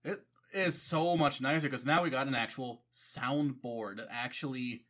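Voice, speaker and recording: Male co-host, bright tone, wisecracking and laughs a lot. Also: mid-range voice, steady tone. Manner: joking, quick asides, laughs often. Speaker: podcast co-host. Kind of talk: casual chat.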